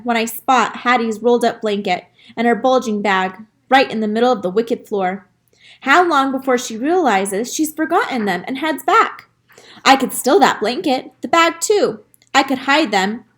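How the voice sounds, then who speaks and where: A woman reading a children's story aloud in an expressive, animated way, with dramatic emphasis on key lines. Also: a little high. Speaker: school staff reader reading aloud to children.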